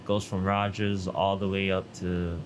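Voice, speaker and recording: A man with a lowish voice talks easily in street slang, tossing in "you know what I mean" as he goes. There's a faint hiss of noise behind him. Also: monotone, measured pace. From Toronto, Canada. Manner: laid-back street slang, frequent "you feel me" tags. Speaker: model and interview guest. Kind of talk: casual interview chat.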